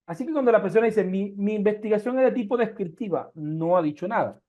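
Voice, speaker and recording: A man's voice: high-pitched, quick pace, warm tone, dull audio. A man from Colombia, teaching a research methods class online and explaining through everyday comparisons and rhetorical questions. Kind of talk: online lecture.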